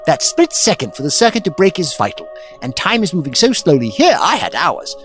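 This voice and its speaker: Male actor as flippant time-traveller, chatty, wry, highish voice, extremely animated intonation, radio play. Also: brisk, soft background music. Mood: defiant, wry. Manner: chatty, wry, breezily polite. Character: flippant, talkative, clever male time-travelling adventurer hero. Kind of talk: audio drama acting.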